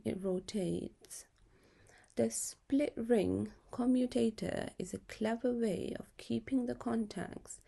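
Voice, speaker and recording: Female educational narrator, explanatory and measured, mellow timbre with noticeable vocal fry. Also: mid-range voice. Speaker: physics tutor presenting. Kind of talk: scripted narration of an exam lesson.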